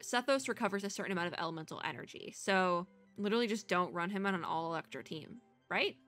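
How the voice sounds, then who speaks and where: Woman, bright forward voice, mid pitch, chatty, gushing, rambling, casual game reaction commentary, faint music behind. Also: expressive, fast. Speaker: VTuber reaction host.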